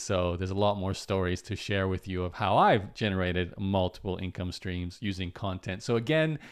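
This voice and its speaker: A man from Australia with a Canadian accent, talking casually to camera as host of his channel in a relaxed, rambling way with frequent fillers. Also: low voice, clean tone. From Brisbane, Australia. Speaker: online entrepreneur and channel host.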